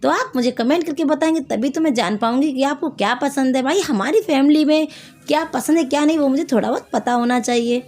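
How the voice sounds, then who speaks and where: A woman hosting a home cooking video, hoarse from a sore throat, chatting to viewers as she explains each step. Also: sits high, fairly quick, a little mellow, faint music behind. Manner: chatty, addresses viewers directly. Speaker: cooking channel host.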